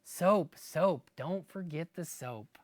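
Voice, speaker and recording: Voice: mid-range voice, slow pace, no room sound, close to an everyday voice. A storyteller voicing a hapless, eager little country boy who is forgetful and chants his phrases over and over. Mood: excited, proud.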